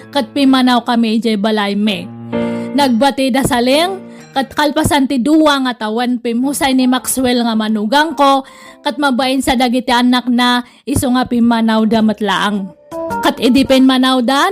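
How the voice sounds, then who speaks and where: A woman with an Ilocano accent hosting and narrating a letter-based radio drama. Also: fairly high pitch. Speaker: drama host reading listener's letter. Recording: faint music behind.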